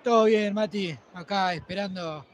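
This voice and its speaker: An Argentine man, the cameraman, joins the commentator for a quick, easygoing exchange. His voice is pitched quite high. A faint background noise runs under his talk. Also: lo-fi sound. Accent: Argentine Spanish.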